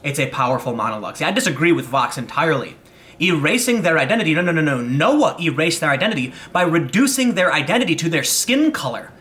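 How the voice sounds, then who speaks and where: A man's voice: on the high side, very animated, a bit bright. A young American man giving an opinion monologue, worked up and stumbling over restarts as he argues. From United States. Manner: agitated, rapid restarts and stammers. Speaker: political commentator.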